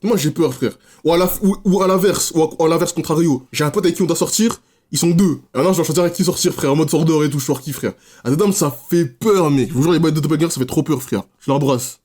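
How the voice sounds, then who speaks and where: A man's voice: fairly high pitch, quick pace, a little mellow, slightly rough. A young man hosting a reaction video with slangy, rambling casual commentary, speaking directly to viewers. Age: young adult.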